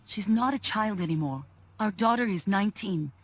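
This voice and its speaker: Woman playing a husky, weary, reasonable mother. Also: mid-range voice, expressive, moderate pace, natural voice. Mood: resigned, firm. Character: weary, reasonable middle-aged mother, pleading wife. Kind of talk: dramatic video game voice acting.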